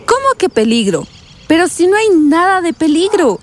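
A woman playing a breezy, skeptical and easily annoyed vacationer in an audio drama, colloquial and expressive in delivery. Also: very high-pitched, moderate pace, slight background noise. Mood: relaxed, carefree. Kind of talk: scripted audio drama acting.

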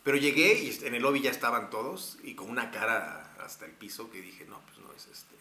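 A man's voice: mid-range voice, animated, fairly quick, bright, forceful tone, reverberant, slight background noise. A man with a Mexican accent, telling a long story casually with slang, fillers and restarts. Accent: Mexican Spanish. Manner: rambling, many fillers and restarts.

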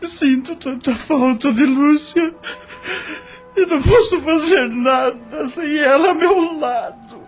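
An actor with a Brazilian accent playing a dejected, lovelorn widowed brother in an emotional radio soap opera. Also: male voice, very high voice, takes it slowly. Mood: sobbing grief. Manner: emotional, theatrical delivery. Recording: music under the voice.

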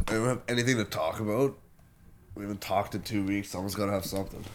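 A man teasing his co-hosts in easygoing banter on a casual podcast. His voice sits on the low side, with a faint hiss in the background. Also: fairly quick. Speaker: podcast co-host. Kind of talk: casual chat.

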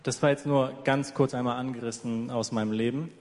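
Man, live sermon interpreter, medium pitch, long reverb of a large hall. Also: steady tone, brisk, a little mellow. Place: large echoing church hall.

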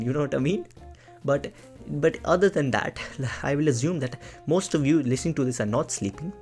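A man's voice: mid-range voice, a little mellow. A young man hosting his own podcast, talking in a rambling, chatty, self-mocking way, often asking "right?" and "you know?". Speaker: podcast host. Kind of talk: casual solo podcast monologue.

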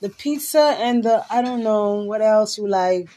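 A woman from the Caribbean chats casually with strangers on a live stream. She sounds easygoing, and her voice sits at a medium pitch. She teases people a little and keeps a relaxed, conversational manner. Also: a little slow. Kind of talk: casual chat.

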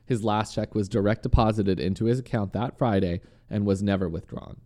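A man's voice: on the low side, fairly quick, dark tone. A man with a slight lisp co-hosting a podcast, reading a news story aloud between banter and swearing when flustered. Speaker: podcast co-host.

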